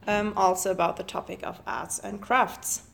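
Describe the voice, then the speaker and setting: A woman's voice: mid-range voice, fairly even intonation, moderate pace, vocal fry. A young woman, a primary school teacher, hosting a casual podcast and asking her co-hosts questions. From Germany. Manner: friendly moderator asking questions. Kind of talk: casual discussion.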